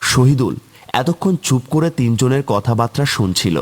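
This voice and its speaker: A man's voice: mid-range voice, expressive, moderate pace. A man narrating a scripted horror tale as a storyteller for a cartoon.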